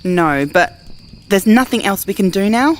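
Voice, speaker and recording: Female survivor for apocalyptic audio drama, actress mid-pitched, weary, sardonic, complaining, natural delivery, slightly noisy recording. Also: lively intonation, a little slow, lightly acted. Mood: anxious. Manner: sarcastic, grumbling. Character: Jackie, weary complaining female survivor in a plague-ridden America.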